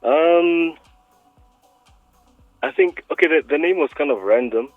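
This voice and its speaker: A man who is a music producer, talking by phone in an interview, hesitant and full of "um"s and quick to laugh. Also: on the high side, expressive, no room sound. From South Africa. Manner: hesitant, many "um"s, laughs easily. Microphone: phone line. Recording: tinny dry phone line.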